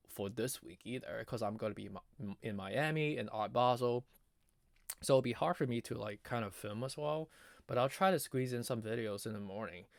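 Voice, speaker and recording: Male video host, lowish pitch, halting off-the-cuff analysis, voice tired and giving out, dry recording. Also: gravelly, no echo. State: losing his voice, often clearing throat. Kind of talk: casual improvised technical chart commentary.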